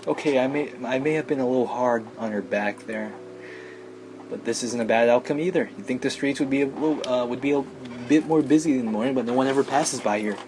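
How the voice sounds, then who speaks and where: A man's voice: medium pitch, background noise. A man hosting a let's play, reading a visual novel aloud with sardonic, casual asides. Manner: sardonic asides, breaking off to joke.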